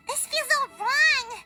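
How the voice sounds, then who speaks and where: A woman voicing a tiny, excitable childlike fairy companion in a video game, squeaky, cutesy and blunt. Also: heavily acted. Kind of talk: English video game voice acting.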